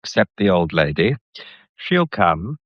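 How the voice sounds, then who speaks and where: An actor playing an insolent, scheming English blackmailer in a mystery radio drama, talking with smug, deliberate menace. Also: male voice, deep voice, sing-song, dry recording, exaggerated voice. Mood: sneering. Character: insolent, scheming male gallery employee turned blackmailer. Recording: dull, muffled radio drama recording.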